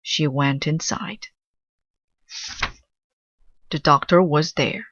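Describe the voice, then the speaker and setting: A woman narrating a children's storybook aloud in a measured, deliberate way. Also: deep voice, steady tone, fairly bright tone, no echo, muffled recording. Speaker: storybook reader.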